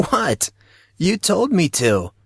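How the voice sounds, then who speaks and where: A man plays a teenage boy in an audio drama, with a husky edge and pitch that swings freely. He talks in informal schoolboy slang, close and dry on the recording. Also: wildly swooping pitch, extremely slow, no echo, heavily acted. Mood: teasing. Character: teenage schoolboy, emotional and bantering with close friends.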